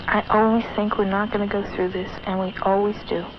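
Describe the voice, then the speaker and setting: A woman's voice: medium pitch, little pitch movement, brisk, close to an everyday voice. A young woman voicing the anxious inner monologue of a teenage girl on a date, in nervous half-whispers. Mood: worried. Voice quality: hushed, half-whispered thoughts. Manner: nervous, self-doubting, rambling. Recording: dull old film soundtrack with hiss.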